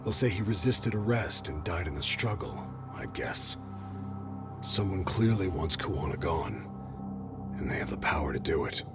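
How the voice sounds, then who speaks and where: An actor playing a jaded middle-aged police detective in a video game dub, blunt, sardonic and weary. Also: male voice, sits low, big pitch swings, normal speed, over loud music. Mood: grim, resigned.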